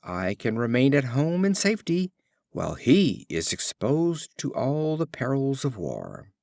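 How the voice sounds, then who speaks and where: Humble fable donkey, read by a man: reflective, relieved at his lot, animated pitch. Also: unhurried, gravelly, no echo, exaggerated voice.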